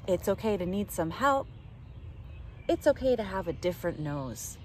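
A middle-aged woman reading a picture book aloud to young children in an animated, direct way, recorded outdoors in the woods. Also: medium pitch, normal speed. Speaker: children's storytime reader. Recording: outdoor ambient noise.

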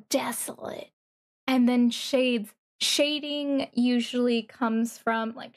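A woman streamer giving casual reaction commentary, thinking aloud in a halting way and repeating words. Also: on the high side, gravelly, a little mellow. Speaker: streamer reacting to a game trailer.

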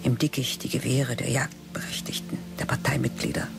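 A teacher's sardonic thoughts. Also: female voice, extremely low pitch, expressive, brisk, vocal fry, over music, exaggerated voice. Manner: whispered, sardonic inner monologue. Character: bitter middle-aged teacher's whispered inner thoughts. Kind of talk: radio drama acting.

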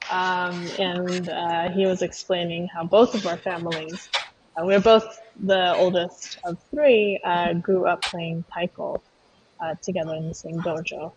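Sex: woman. Style: casual interview talk, thoughtful and halting. Pitch: lowish. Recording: muffled video-call audio with faint hiss. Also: fairly even intonation. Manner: thoughtful, hesitant with many ums. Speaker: guest, taiko performer.

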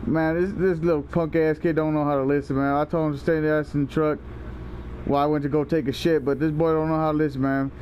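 A man's voice: a little high, little pitch movement, fast, slight background noise. A young man making a prank phone call in casual, slangy talk, rambling and stammering, with some swearing. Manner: rambling, foul-mouthed, stammering. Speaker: prank caller and video host.

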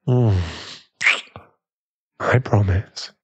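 A man with a British accent playing a tender, protective aristocratic werewolf fiancé in a soothing ASMR boyfriend roleplay, his voice husky and genteel. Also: lowish voice, extremely slow, darkish tone, highs cut off, exaggerated voice.

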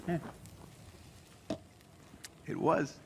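A man's voice: extremely slow, background noise. A man playing a bitter, sardonic middle-aged inventor in a video game, with a glib, sarcastic delivery. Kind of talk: video game cutscene acting.

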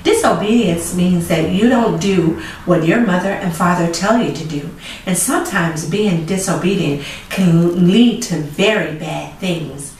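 A woman's voice: on the low side, unhurried, darkish tone. A middle-aged woman hosting children's storytime, telling stories and moral lessons emphatically and putting questions to the listener. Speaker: storytime host. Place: small indoor room with chalkboard set. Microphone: camera mic a few feet away. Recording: echoey room, distant camera mic, faint noise.

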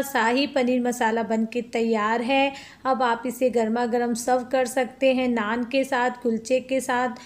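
A woman hosting a cooking channel, chatting step by step through a recipe in a home kitchen and calling her viewers friends. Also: high voice.

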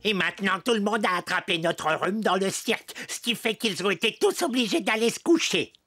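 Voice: medium pitch, expressive, a little fast, lightly acted. A performer voicing a friendly toy circus clown in a French children's cartoon, theatrical and playful, with music behind. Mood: sorry, sniffly.

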